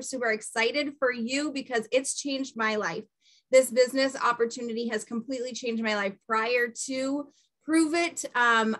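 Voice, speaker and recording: A high, bright-voiced woman with a Boston-area New England accent leads an online training session. She sounds peppy and encouraging, and her voice is picked up by a webcam mic in a small room. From New Hampshire, US. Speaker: host and coach. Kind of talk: upbeat training webinar. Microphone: webcam on a video call.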